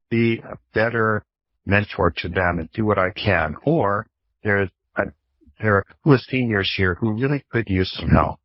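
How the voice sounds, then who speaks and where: A man in his fifties speaks as an expert guest, in a lowish, somewhat rough voice. He explains conversationally, working through examples and rhetorical questions. The sound is dry and a little dull, like lo-fi call audio. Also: no room sound. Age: 50s. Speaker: professor, author and guest expert. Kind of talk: explanatory interview talk with illustrative anecdotes.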